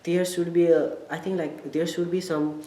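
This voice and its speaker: A man's voice: a little high, little pitch movement, normal speed, warm tone. Hesitant young Nepali man, echoey room. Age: young adult. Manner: hesitant, searching for words. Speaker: college student interviewee. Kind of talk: casual interview answers. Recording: echoey room with background noise.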